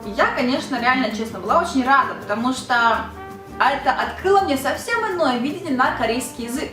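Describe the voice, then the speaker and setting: A woman's voice: sits high, expressive, fairly quick, reverberant, over music. A young Russian woman, a Korean language teacher, chatting casually in a video lesson and asking the viewer rhetorical questions.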